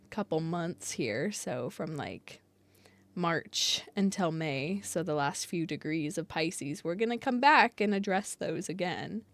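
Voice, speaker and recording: A woman's voice: fairly low pitch, no room sound. A young woman, sick with a cold and sounding nasal, talking casually to camera as an astrologer, rambling and laughing often. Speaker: astrologer and channel host.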